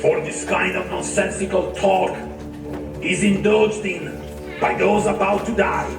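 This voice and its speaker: Guy doing a haughty demon king for a puppet show, angry, high gravelly voice, echoey with music behind. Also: little pitch movement, measured pace, far from the mic. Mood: angry, menacing. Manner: haughty, scolding, theatrical. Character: furious, arrogant demon king and father. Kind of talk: scripted puppet show dialogue.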